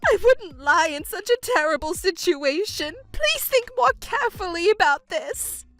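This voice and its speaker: Actress as a selfish, self-pitying middle-aged stepmother, high and shrill, audio drama. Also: sing-song, moderate pace, soft background music. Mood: wailing. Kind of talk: melodramatic audio drama acting.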